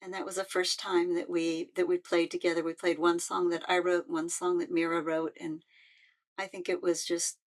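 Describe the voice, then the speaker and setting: An older woman, a musician speaking in a halting, heartfelt way with frequent restarts. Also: lowish voice, level tone. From United States. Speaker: musician and peace activist. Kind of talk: personal reflective talk.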